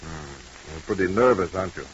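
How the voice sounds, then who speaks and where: A low, paternal doctor speaks on a muffled old radio drama with faint hiss. He is firm and soothing, with a wry edge. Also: animated, normal speed. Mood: calm, probing. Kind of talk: 1940s radio drama acting.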